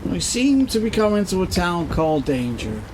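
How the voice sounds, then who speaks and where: A man's voice: high voice, sing-song, moderate pace, a little mellow, a little hiss or hum. A man playing a daft, literal-minded brother in a comic audio drama, speaking in a naive, earnest manner.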